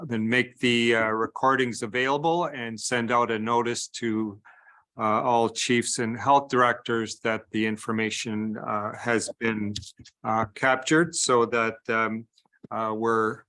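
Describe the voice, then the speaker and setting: A man's voice: medium pitch, bright, forceful tone, smooth. A man moderating a call from a meeting room, hesitant, with many filler words.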